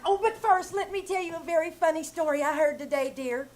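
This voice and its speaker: A woman's voice: very high voice, fairly quick. A woman playing a scatterbrained, cheerful young wife in a live old-time radio comedy, chirpy and prone to verbal slips. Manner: chirpy, malaprop-prone, giggly. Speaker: actor playing Jane. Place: live theater stage.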